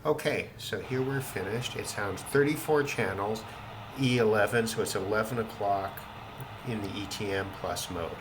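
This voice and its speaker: Low-voiced man over clear noise. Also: moderate pace. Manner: matter-of-fact, thinking aloud. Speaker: radio hobbyist demonstrating a receiver. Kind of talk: casual unscripted demonstration narration. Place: indoors at home in a city.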